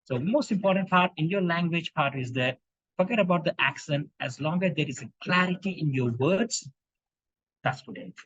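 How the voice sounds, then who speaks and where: A man from India giving an animated talk on impromptu speaking to a club, with emphatic rhetorical questions over a video call. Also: a little high, fairly quick, breathy edge, no room sound, highs cut off. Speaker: speaking coach. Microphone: video call microphone.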